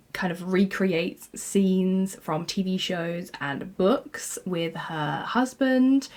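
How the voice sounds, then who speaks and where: Gushing podcast host, slightly low voice. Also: female voice. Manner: enthusiastic and gushing, lots of "like" and "kind of". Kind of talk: podcast intro and interview questions.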